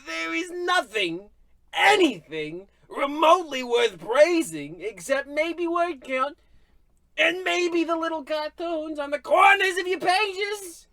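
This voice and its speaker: A haughty, scornful book critic, high and piercingly bright. The delivery is sputtering and snide, in a dry, close recording. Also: big pitch swings, takes it slowly, no echo, heavily acted. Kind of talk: old-radio comedy acting.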